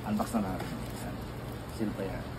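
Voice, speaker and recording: A man's voice: on the low side, steady tone, moderate pace. A man chatting casually through an unboxing vlog, laughing often and filling gaps with "ah". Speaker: moto vlogger. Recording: noisy, vehicle sounds behind.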